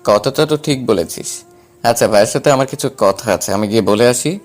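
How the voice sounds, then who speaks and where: A man's voice: mid-range voice, brisk, close to an everyday voice. A man playing a flustered, cheeky but timid younger brother in an acted audio story. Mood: casual, polite.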